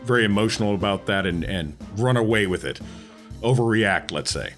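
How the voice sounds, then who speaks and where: A man's voice: on the low side, expressive, a little fast, a bit bright, faint music behind. A man, a player on a tabletop game podcast, explaining things in casual talk.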